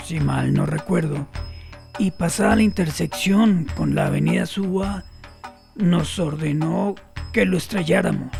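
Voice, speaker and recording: A compliant man on the witness stand in a radio drama. His voice is very creaky and slightly high, his answers deliberate and formal, over faint music. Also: a little mellow. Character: compliant male witness testifying at a sham trial. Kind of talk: audio drama courtroom acting.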